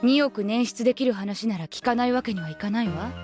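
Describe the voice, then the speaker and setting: A woman's voice: a little high, very fast, over music, lightly acted. A woman voicing a wary, curt young mother in a Japanese visual novel, guarded and businesslike.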